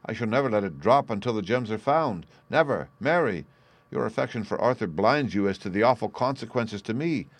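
A man's voice: lowish voice, quick pace, hardly any pauses, no echo, voice pushed up, lightly acted. Man acting a stern, indignant older banker. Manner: emphatic, indignant. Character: stern, impatient older banker, distraught father and uncle.

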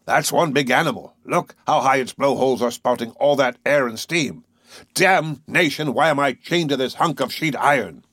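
A man voicing an excitable, boastful Canadian whale harpooner in an audiobook, blunt and emphatic. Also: medium pitch, normal speed, husky, dry recording, studio quality, pitched above the performer's own voice. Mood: excited, frustrated. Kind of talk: audiobook character voice.

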